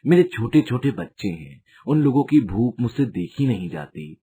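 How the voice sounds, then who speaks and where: A man's voice: medium pitch, big pitch swings, normal speed, warm tone, a little hiss or hum, lightly acted. A man playing an envious, ingratiating friend who sweet-talks in a storybook tale. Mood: pleading. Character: envious, sweet-talking man feigning hardship to his friend. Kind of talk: storybook character dialogue.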